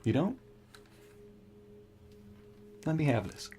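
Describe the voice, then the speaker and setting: An actor in an audio drama playing a manic, sleep-deprived, scatterbrained scientist who rambles and stammers. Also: male voice, on the high side, very animated, slow pace, warm tone, slight background noise. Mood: agitated, earnest.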